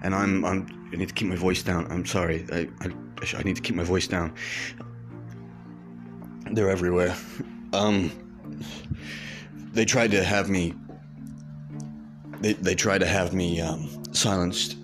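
An actor playing a frightened fugitive private eye who stammers and talks in hushed tones. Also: male voice, sits low, fairly even intonation, a little slow, long pauses, music in the background. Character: scared fugitive male detective, paranoid.

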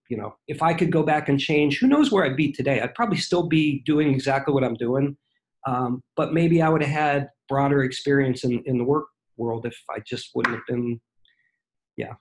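A man's voice: medium pitch, rough recording. A man in his sixties, a podcast guest, telling self-deprecating stories in casual chat, with many fillers and restarts. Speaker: guest, franchise consultant. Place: home.

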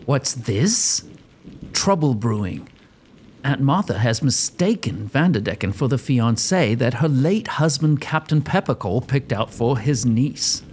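A man's voice: mid-range voice, animated, unhurried, darkish tone, slight background noise. A man giving scripted narration for a gothic comedy audio drama.

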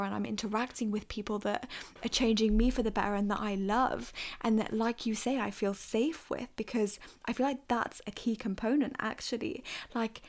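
A woman raised in the UK co-hosting a casual podcast, enthusiastic and affirming with many fillers. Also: medium pitch. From United Kingdom. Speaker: podcast co-host.

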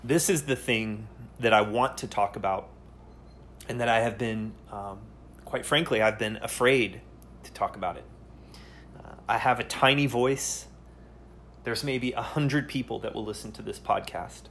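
A man hosting a solo podcast, speaking earnestly and haltingly, often pausing to search for words. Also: lowish voice, fairly bright tone, slight background noise. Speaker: podcast host.

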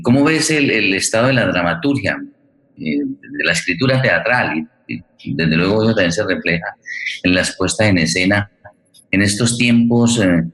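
A man with a very low voice hosts a discussion. He phrases his questions formally and discursively. His delivery is halting and deliberate, with academic wording. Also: a bit bright. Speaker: interviewer and forum host.

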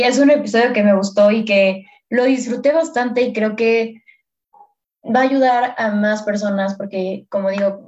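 A woman's voice: medium pitch, a little mellow. Easygoing young woman podcast host. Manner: friendly, rambling, laid-back. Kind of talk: casual chat. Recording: slightly dull lo-fi podcast audio.

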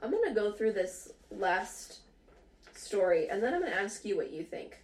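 Brief: woman host, giggly, casual, mid-pitched, reads stories aloud. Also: big pitch swings, moderate pace, recorded from a distance. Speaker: podcast host. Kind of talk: casual chat, reading out stories.

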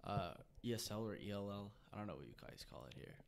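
A man's voice: on the low side, expressive, fast talker, creaky, slight background noise. A young man with Russian as his first language, a podcast guest chatting casually, tired, laughing and slangy as he tells stories. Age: young adult. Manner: loose, laughing storyteller, heavy slang. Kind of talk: casual chat.

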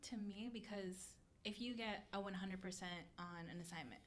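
A woman's voice: mid-range voice, moderate pace, slight background noise. A young woman in a casual podcast chat, asking probing, teasing questions.

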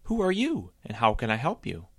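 A perky, ever-smiling male bunny, put on by a man reading a storybook aloud. He pushes his voice up high and keeps it bouncing, with a crisp, bright edge, always coaxing and upbeat. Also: wildly swooping pitch, moderate pace, no room sound, studio quality, exaggerated voice. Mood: cheerful. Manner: eager, encouraging, bouncy.

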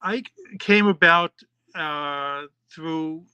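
A man's voice: fairly high pitch, extremely slow, bright, forceful tone, clear, resonant tone, no room sound, dull audio. A middle-aged man from New York, a podcast host and children's author, answering interview questions in a rambling, chatty way with many fillers and restarts.

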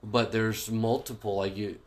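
A man's voice: lowish voice, flat delivery, a little slow. A man co-hosting a podcast in casual chat, talking with a stuffy nose in a joking, sarcastic way. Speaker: podcast co-host. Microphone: phone call.

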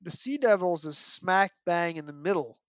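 A man's voice: sits high, animated, normal speed, fairly bright tone, no room sound. A man giving an articulate, detailed analysis as a guest in a podcast discussion. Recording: dull, dry lo-fi audio.

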